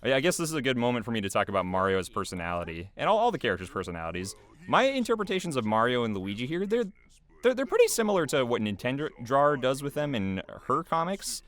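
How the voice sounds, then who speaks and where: A man's voice: mid-range voice, big pitch swings, fast, a bit bright. A man giving casual, unscripted behind-the-scenes commentary in a rambling, hesitant way.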